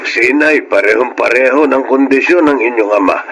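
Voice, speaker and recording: A calm older Filipino uncle, acted by a man, speaking at a middle pitch with measured concern. It is radio-drama acting on a clearly noisy recording. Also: close to an everyday voice. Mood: concerned, reflective.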